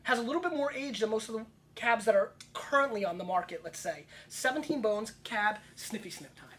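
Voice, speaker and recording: A man's voice: high-pitched, a bit bright, slight background noise. A man in his thirties hosting a wine tasting show, brash and joking as he chats. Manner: brash, rapid-fire, joking. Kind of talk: casual tasting chat.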